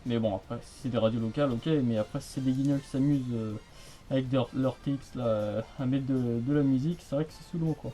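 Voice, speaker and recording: A man's voice: mid-range voice, fairly quick, dark tone, soft background music. A man chatting casually on the radio in a rambling, hesitant, repetitive way. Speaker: amateur radio hobbyist.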